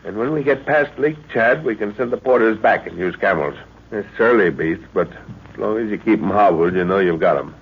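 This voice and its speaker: An actor playing a seasoned expedition leader and father in an old radio drama, speaking with deliberate authority. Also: lowish voice, animated, husky. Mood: measured, determined. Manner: authoritative, deliberate, thoughtful hums. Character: seasoned, commanding expedition leader and father, middle-aged or older. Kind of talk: 1940s radio acting. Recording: noisy, dull old radio recording.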